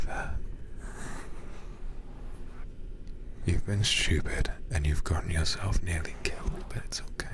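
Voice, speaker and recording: A man voicing a possessive, condescending demon lord in an intimate ASMR roleplay, speaking slowly and softly, often near a whisper. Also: low-pitched, natural voice. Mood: condescending, soothing. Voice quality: soft, breathy, often dropping to a whisper. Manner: slow, deliberate, teasing, condescending. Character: possessive, smooth male demon lord of feudal Japan. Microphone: close ASMR microphone.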